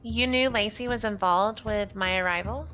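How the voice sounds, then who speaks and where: A woman's voice: medium pitch, normal speed, a bit bright, dull audio. A woman co-playing on a livestream, chatting casually and reading game dialogue aloud. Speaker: livestream co-player. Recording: music behind the voice.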